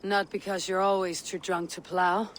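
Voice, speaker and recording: A woman's voice: on the low side, level tone, moderate pace, a little hiss or hum, natural voice. An actress playing a tough Viking warrior woman, terse and wry, in a video game cutscene.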